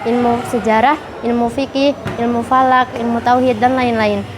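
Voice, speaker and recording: A woman's voice: a little high, steady tone, normal speed. A young woman student steadily listing her reasons and her teachers' backgrounds. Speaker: madrasah student. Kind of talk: testimonial to camera. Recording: noisy background.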